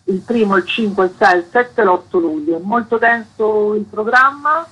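A woman being interviewed on the radio as a festival organiser. Her voice is bright and forceful, with a husky grain. She explains the programme in an informational interview style. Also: medium pitch, normal speed, lo-fi sound.

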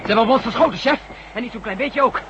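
Hurried subordinate trooper, quick and high-pitched, radio drama, dull noisy outdoor scene. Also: male voice. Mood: urgent reporting. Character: agitated male soldier reporting back to his chief. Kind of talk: Dutch science-fiction radio drama acting. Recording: muffled recording with loud outdoor noise effects.

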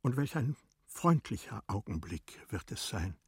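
A man reads literary narration with measured solemnity. His voice is fairly low and very breathy, recorded close and dry, and he takes his time over each phrase. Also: very animated, dry recording.

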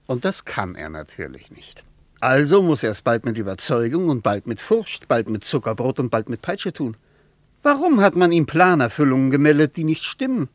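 A male actor voices an insistent sceptical man arguing in a radio play. His mid-pitched voice moves with great animation and rhetorical emphasis, recorded very dry. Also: very animated, normal speed, no room sound, lightly acted. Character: male traveller, insistent sceptical debater of politics. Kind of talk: German radio play dialogue.

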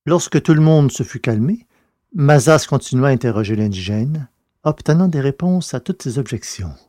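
A man's voice: medium pitch, expressive, moderate pace, mellow, no room sound, clean studio sound. A man reading scripted narration for a science-fiction audio drama in French.

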